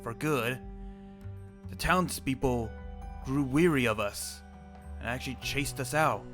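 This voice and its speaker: A man's voice: medium pitch, measured pace, fairly bright tone, soft background music. A young man roleplaying an old immortal wizard, rambling and restarting his sentences. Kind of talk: in-character roleplay chat.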